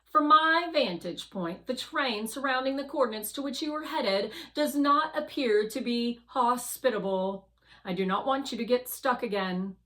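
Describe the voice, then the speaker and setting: A woman's voice: fairly high pitch, moderate pace, sharp tone, pitched above the performer's own voice, rough recording. A woman voicing a stern, cautious machine spacecraft with a formal, officious manner in a children's storybook. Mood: cautioning.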